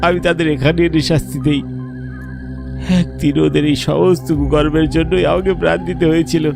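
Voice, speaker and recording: A man's voice: fairly high pitch, fairly even intonation, fairly quick, gravelly, music in the background. A man voicing the vengeful ghost of a murdered village teacher, menacing and bitter in a cartoon. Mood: bitter accusing. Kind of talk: cartoon voice acting.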